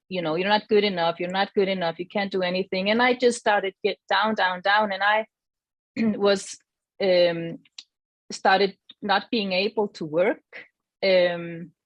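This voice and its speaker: A woman from Iceland, giving a gentle, reflective personal testimony as a guest and often searching for her words. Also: medium pitch, dry recording, rough recording.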